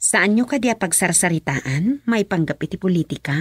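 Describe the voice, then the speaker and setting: Inquisitive wife, radio serial. Breathy, animated, close dry sound. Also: female voice, fairly low pitch, normal speed, dry recording. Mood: curious. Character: inquisitive wife chatting with her politician husband. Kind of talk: Ilocano radio drama acting.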